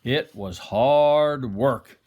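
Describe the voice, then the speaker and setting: An older man reading a children's picture book aloud expressively, like a grandfather, with dramatic pauses. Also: medium pitch, a little mellow, muffled recording.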